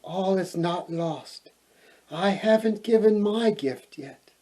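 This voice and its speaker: A man puts on a tiny storybook fairy, a kindly little female creature. The small voice is high and gentle, speaking slowly and earnestly. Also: lively intonation, full character voice. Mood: gentle, hopeful. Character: tiny, kind, youngest-sized female fairy.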